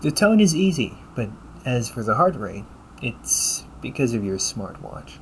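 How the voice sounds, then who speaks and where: A man playing a polite, caring, gently teasing AI companion in an intimate audio roleplay, speaking formally to the listener. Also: medium pitch, very animated, unhurried, poor audio. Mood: playful teasing. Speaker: voice actor. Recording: noticeable background noise.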